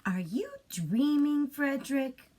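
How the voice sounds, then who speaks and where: Woman as high-pitched, questioning little field mice. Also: animated, takes it slowly, a little mellow. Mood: curious. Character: chorus of little chatty, puzzled field mice.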